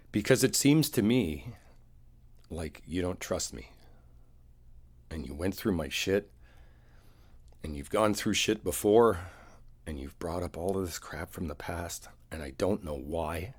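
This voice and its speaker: A man acts out a frustrated boyfriend with a very low, husky voice. He speaks in halting, everyday phrases, as one side of an intimate audio roleplay argument with his partner. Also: heavily acted. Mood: hurt, frustrated.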